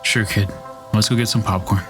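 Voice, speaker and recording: A man playing an easygoing, soft-hearted sidekick in an audio drama, casual and hesitant. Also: on the low side, fairly even intonation, a little fast, faint music behind, natural voice. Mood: resigned. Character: easygoing, hesitant sidekick who gives in easily.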